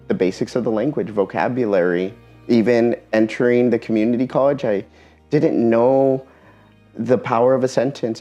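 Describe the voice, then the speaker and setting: A man giving a personal talk in an earnest, reflective way, pausing often between phrases. Spanish is his first language. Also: lowish voice, darkish tone, muffled recording. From Eastern Washington, USA.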